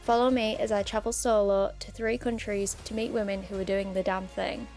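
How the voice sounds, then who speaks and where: A woman's voice: medium pitch, flat delivery, normal speed, a little mellow, faint music behind. A woman presenting a documentary in scripted narration.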